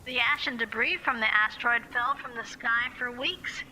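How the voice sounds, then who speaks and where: A woman's voice: a little high, normal speed, bright, forceful tone, faint music behind, poor audio. A woman voicing a grim young female survivor narrating a post-apocalyptic film script in voiceover. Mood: grim, matter-of-fact.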